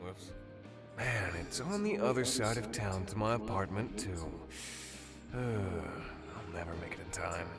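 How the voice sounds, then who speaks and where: Man acting a sardonic, jaded reluctant hero over loud music. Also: fairly low pitch, expressive, measured pace, gravelly. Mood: flippant, dry. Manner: dry, sarcastic, grumbling. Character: jaded, sardonic young male reluctant superhero. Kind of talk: visual novel voice acting. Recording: music playing loudly behind the voice.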